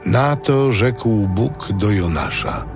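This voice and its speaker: A man playing a solemn narrator, reading a scripted audio Bible in a measured way. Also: fairly low pitch, animated, over music. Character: solemn male biblical narrator.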